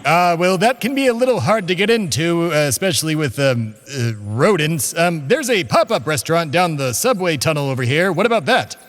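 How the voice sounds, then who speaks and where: A grandiose, pompous schemer acted by a man hosting a comedy audio drama. His voice sits fairly high and moves in animated, theatrical sweeps with formal flourishes. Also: a little fast, few pauses. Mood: nervous, placating. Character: pompous, scheming male embodiment of America. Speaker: host of a comedy podcast.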